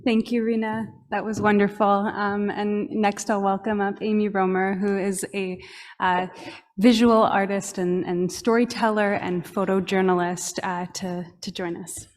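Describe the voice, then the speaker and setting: A woman moderator briefly thanks one speaker and introduces the next. Her mid-pitched voice stays very level and even. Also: measured pace. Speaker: panel moderator.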